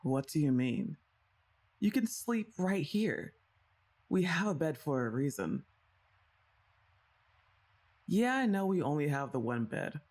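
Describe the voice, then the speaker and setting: A young woman's voice that moves up and down a lot as she speaks, in a teasing, bossy-but-playful way. She sighs and laughs softly between lines while she talks straight to the listener in a scripted ASMR roleplay. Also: sits low, very animated, takes it slowly, long pauses.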